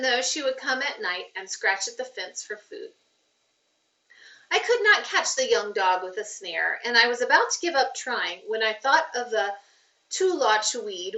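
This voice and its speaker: Female storybook reader, teacherly, higher-pitched, recorded slightly away from the mic. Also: pushed and bright, recorded from a distance. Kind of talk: read-aloud narration of a children's novel with informal commentary. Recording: slightly dull sound, off the microphone.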